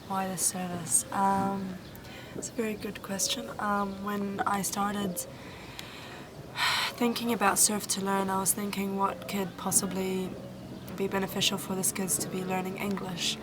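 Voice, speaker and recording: A young woman from New Zealand, a volunteer answering interview questions in an earnest, halting way with many pauses and restarts. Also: mid-range voice, flat delivery. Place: Egyptian village, indoors or outdoors. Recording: noisy background.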